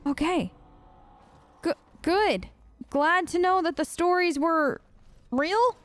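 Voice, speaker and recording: A woman's voice: very high-pitched, slow pace. A woman giving casual, chatty gameplay commentary, often talking to herself and to the characters as she plays. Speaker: let's play host.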